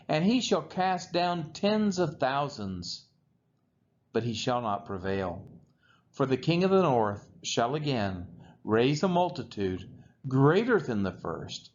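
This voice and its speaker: A man reading scripture aloud in a solemn style, with measured, deliberate phrasing. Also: fairly high pitch. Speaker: scripture reader. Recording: dull lo-fi recording with faint noise.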